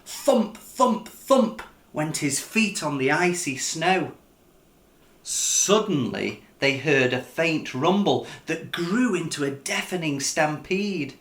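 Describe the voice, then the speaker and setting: A man reads a children's story aloud as its author. He takes his time and swings his pitch widely for expression. The sound is roomy, with noticeable echo. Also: animated, slow, a bit bright. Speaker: author reading own book.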